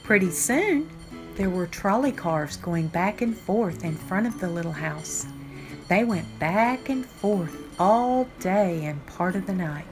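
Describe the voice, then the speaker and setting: An older woman reading a children's picture book aloud, with deliberate, expressive phrasing for young listeners. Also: fairly low pitch. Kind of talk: read-aloud storytime narration. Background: background music.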